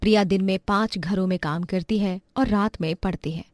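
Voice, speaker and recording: A woman tells a moral story in a calm, measured narration. Her voice is mid-pitched and recorded close and dry. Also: dry recording.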